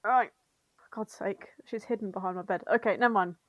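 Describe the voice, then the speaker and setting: A woman's voice: medium pitch, a little fast, no room sound, lo-fi sound. A woman co-hosting a podcast, retelling fan fiction in a giggly, sweary, informal comedic chat. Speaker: podcast co-host.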